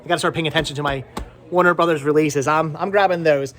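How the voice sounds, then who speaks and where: High-voiced, chatty man vlogging. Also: fairly even intonation, quick pace, fairly bright tone. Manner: chatty, rambling, repeats words for emphasis. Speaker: vlogger host. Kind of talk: casual vlog chat to camera and friends.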